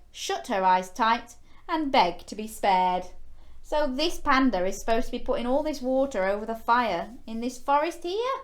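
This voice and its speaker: A woman hosting a children's story time, reading a picture book expressively with exclamations and playful questions to young listeners. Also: a little high. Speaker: children's storyteller and show host.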